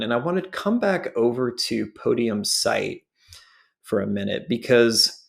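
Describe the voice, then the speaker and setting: A man presents a walkthrough in an explanatory way. His voice sits at an average pitch with a good deal of vocal fry, and he breaks his commentary into short phrases. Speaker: show host and presenter.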